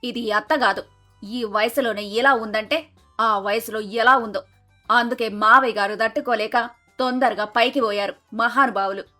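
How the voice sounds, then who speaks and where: A woman voicing sharp-tongued, sarcastic village in-laws who scold and bicker in a dramatised moral story. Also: a little high, fairly even intonation, moderate pace, faint music behind. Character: sharp-tongued bickering mother-in-law and daughter-in-law in village household.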